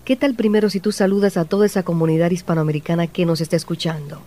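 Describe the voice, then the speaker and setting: A woman's voice: lowish voice, steady tone, fast talker, dark tone. A woman hosting a radio program, narrating a group's history and interviewing a guest with open enthusiasm and admiration. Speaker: radio show host.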